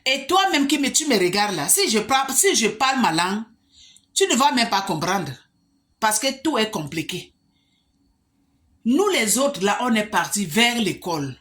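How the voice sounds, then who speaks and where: A woman's voice: a little high, very animated, normal speed, fairly bright tone. Emphatic woman. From Africa. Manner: emphatic, with rhetorical questions to the viewer. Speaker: vlogger. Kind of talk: monologue to the camera.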